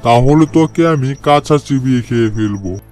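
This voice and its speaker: A man's voice: medium pitch, moderate pace, a little mellow, soft background music, lightly acted. A man voicing an arrogant, bullying tiger who rules the jungle in a cartoon moral story, with a domineering manner. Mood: menacing, boastful. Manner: domineering, threatening, scolding.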